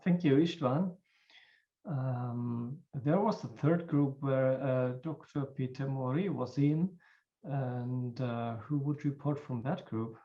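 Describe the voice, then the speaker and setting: A man's voice: mid-range voice, slow pace. A man moderates an online seminar, thanking speakers and calling on the next group. His audio is dull and lo-fi. He hesitates, with fillers between his phrases.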